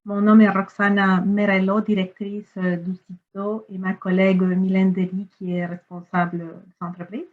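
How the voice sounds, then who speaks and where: A female director gives an informal webinar presentation over a narrow, phone-like connection. She speaks hesitantly, pausing as she finds her way through the introduction. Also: medium pitch, flat delivery. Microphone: video call. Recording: thin phone-like online call audio.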